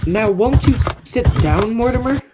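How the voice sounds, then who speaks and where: A woman's voice: on the high side, animated, normal speed, very noisy, lightly acted. A young woman playing a menacing, murderous man in a radio play. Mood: cold, sinister. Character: menacing murderous man back after twenty years away. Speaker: high school theatre actor.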